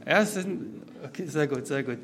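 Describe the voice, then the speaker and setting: A man's voice: fairly high pitch, normal speed, a bit bright. A man preaching a sermon, explaining step by step and speaking freely to his listeners. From Swabia, southern Germany. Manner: explanatory, structured, addresses listeners directly. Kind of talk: sermon, spoken freely from notes to a congregation.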